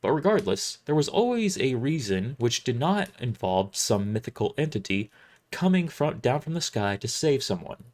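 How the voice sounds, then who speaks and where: A man's voice: mid-range voice, very animated, normal speed, darkish tone. A man hosting a casual livestream, chatting and narrating a science fiction story he wrote. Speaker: streamer and author reading own book.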